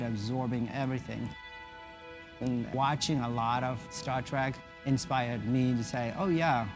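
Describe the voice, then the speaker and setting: A man from South Sudan speaks earnestly and reflectively about his path as a rocket engineer. His voice sits at an ordinary middle pitch. Clear music plays underneath throughout. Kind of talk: interview in a produced feature.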